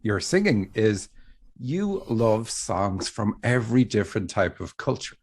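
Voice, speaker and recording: A man's voice: fairly low pitch, sing-song, measured pace, darkish tone, a little hiss or hum. A man hosting a dream interpretation podcast, chatting casually and laughing easily. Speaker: podcast host.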